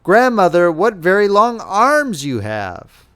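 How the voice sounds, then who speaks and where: A man's voice: high voice, wildly swooping pitch, slow pace, bright, forceful tone, clean tone, voice pushed up, full character voice. A man voicing a sweet, innocent little girl in a fairy tale, polite and earnest. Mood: wondering. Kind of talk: storybook character voice in fairy tale reading.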